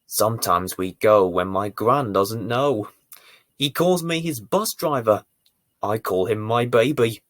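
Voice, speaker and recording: A man reading a bedtime story to young children as its presenter, with deliberate, theatrical emphasis. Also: mid-range voice, no room sound, clean studio sound. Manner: deliberate, theatrical emphasis with dramatic swells and hushes.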